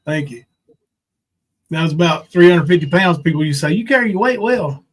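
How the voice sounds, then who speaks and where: A man's voice: a little high, animated, brisk. A man in his fifties hosting a podcast, chatting casually about old times with colloquial, folksy banter. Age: 50s. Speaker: podcast host, former pro wrestler. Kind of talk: casual reminiscing chat.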